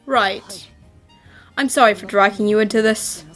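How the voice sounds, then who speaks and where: A woman's voice: medium pitch, unhurried, fairly bright tone, soft background music, lightly acted. A woman voicing a brave, earnest and polite schoolboy in an anime-style fan dub. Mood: earnest, worried.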